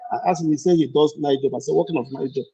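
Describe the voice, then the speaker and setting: A man's voice: fairly high pitch, racing, warm tone. A man with an Igbo Nigerian accent, a guest on a live panel, chatting and telling stories from his own life. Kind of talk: casual live panel chat. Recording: muffled lo-fi call audio.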